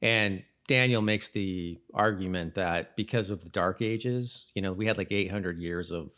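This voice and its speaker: Man with a lowish voice, chatting casually. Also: measured pace, a bit bright. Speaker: podcast co-host. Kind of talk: casual chat about a TV episode.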